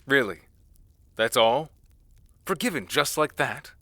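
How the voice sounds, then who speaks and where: A man playing a bitter, protective young prince scarred by war, in an audio drama, speaking with deliberate intensity. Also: mid-range voice, expressive, pushed and bright, no room sound, full character voice. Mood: indignant, bitter. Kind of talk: audio roleplay drama acting.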